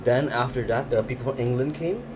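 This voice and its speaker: A man's voice: medium pitch, a little fast, background noise. A young man, son of a restaurant owner, explaining history with many fillers. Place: indoor restaurant.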